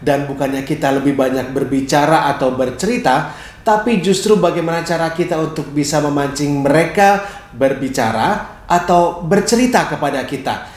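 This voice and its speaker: Middle-aged male presenter, fairly high-pitched, expressive and clearly phrased tutorial delivery, recorded in a reverberant room. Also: moderate pace. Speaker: storyteller and caregiver giving a tutorial. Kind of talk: instructional presentation to camera. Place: small bright living room, indoors, seated on a sofa. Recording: echoey room.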